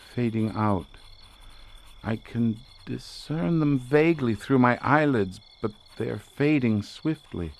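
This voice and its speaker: An actor playing an intense, imperious occult researcher in an audio drama, speaking in a formal, grandiloquent, theatrical manner. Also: male voice, lowish voice, a little mellow. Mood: entranced.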